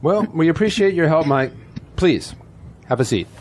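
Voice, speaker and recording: A man's voice: on the high side, expressive, normal speed, darkish tone. An actor playing a seasoned, courteous but skeptical city councilman in an audio drama.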